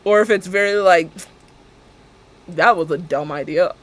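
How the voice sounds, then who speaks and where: A young woman with a deep alto voice, chatting casually and rambling as she streams a building game, laughing often and talking to her viewers. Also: a little slow.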